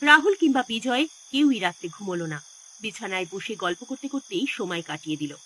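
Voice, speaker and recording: A woman's voice: medium pitch, expressive, fairly quick, sharp tone, a little hiss or hum. A woman narrator reading a scripted horror story in a dramatic style.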